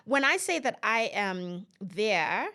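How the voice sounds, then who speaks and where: A woman's voice: medium pitch, very animated, takes it slowly, bright, forceful tone. A woman in her late thirties, a podcast guest, talking chattily with many fillers and light laughter. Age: 30s. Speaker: podcast guest and content creator. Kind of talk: casual podcast conversation.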